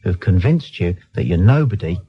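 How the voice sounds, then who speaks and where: A man's voice: low voice, big pitch swings, moderate pace, slightly rough. A British man hosting a late-night phone-in, arguing in a blunt, dismissive way and insistently repeating himself. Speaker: radio phone-in host. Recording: dull lo-fi radio recording.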